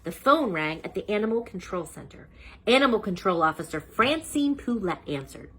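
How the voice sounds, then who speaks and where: A woman storyteller reading a children's book aloud in an animated style. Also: medium pitch, normal speed, slightly rough.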